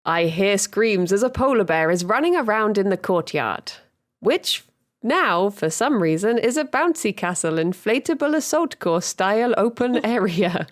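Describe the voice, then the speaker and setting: Woman podcast host, lively sing-song read, giggly, reading a dream diary to a guest. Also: mid-range voice, a little slow. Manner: giggly, reads with frequent laughter.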